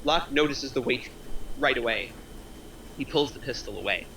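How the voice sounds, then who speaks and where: A man narrates a screenplay's action in a scripted table read. His voice is middle-pitched, bright and forceful, with faint noise in the background. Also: animated, moderate pace, gravelly, low-quality recording. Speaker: narrator reading stage directions.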